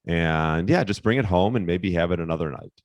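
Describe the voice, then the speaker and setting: A man with a very low, slightly hoarse voice, giving fitness tips on his podcast in a relaxed, rambling way. He pauses on fillers and restarts his sentences. The sound is dry and close to the mic. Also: no room sound, muffled recording. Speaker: podcast host, fitness coach. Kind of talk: casual solo podcast tips.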